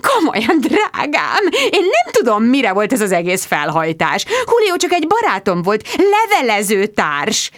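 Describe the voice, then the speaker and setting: A woman's voice: fairly high pitch, wildly swooping pitch, hardly any pauses, a bit bright. A woman reading an audiobook, voicing a flighty, gushing middle-aged mother who keeps saying darling. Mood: airy. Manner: gushing, calls everyone darling.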